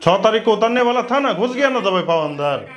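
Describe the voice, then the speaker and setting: A man's voice: high-pitched, lively intonation, a little fast, a bit bright. A man delivers a combative political rant to camera on a lapel mic, scornful, abusive and confrontational. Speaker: news commentator.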